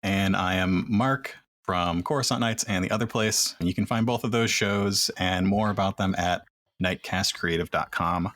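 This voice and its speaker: A man hosting a casual podcast roundtable and handing turns to his guests in a relaxed way. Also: low-pitched. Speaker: roundtable host.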